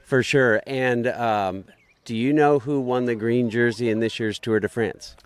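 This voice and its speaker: A man's voice: mid-range voice, fairly even intonation, unhurried, smooth, no room sound. A man hosting a podcast, doing casual roaming interviews with friendly, easygoing banter and holding a handheld mic. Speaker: podcast host. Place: outdoors at a bike ride event.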